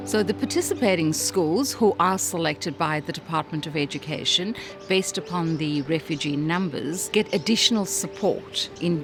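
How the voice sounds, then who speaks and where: A woman calmly explaining an education program in a promotional video. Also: low-pitched. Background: background music.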